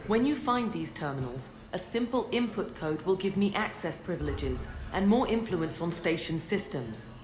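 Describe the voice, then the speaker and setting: A woman plays a businesslike tech officer in a video game. Her lowish voice is polite and matter-of-fact, in a very echoey room. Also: lively intonation, moderate pace, hardly any pauses, crowd noise, natural voice. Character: businesslike female technician at a command center. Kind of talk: cinematic video game acting.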